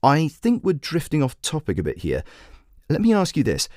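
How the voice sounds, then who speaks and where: A man voicing a brisk female radio phone-in host who questions and persuades in a measured way. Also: a little high, wildly swooping pitch, darkish tone, no echo, clean studio sound, pitched above the performer's own voice. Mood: firm, challenging. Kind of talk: talk radio presenting.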